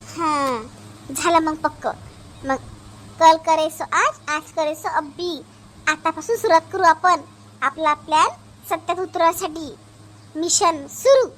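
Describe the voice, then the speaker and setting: A woman's voice: very high voice, unhurried, long pauses, fairly bright tone, low-quality recording. A woman voicing a feisty, outspoken young daughter-in-law in a family cartoon, speaking bluntly in colloquial Varhadi Marathi full of rhetorical questions. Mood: scheming. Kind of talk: cartoon family drama dialogue.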